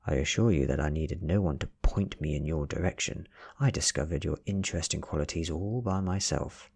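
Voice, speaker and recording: A British man voicing a nervous, scheming male investigator in a soft ASMR storybook reading, breathy, uneasy and self-important. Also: rumbling low, brisk, full character voice. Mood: indignant.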